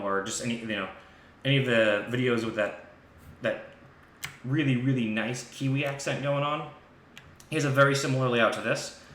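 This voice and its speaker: Bright-voiced man streaming from an echoey room. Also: mid-range voice. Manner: informal, thinking aloud while demonstrating. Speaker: live stream host and software instructor. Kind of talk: casual screen-share software tutorial. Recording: echoey room with faint background noise.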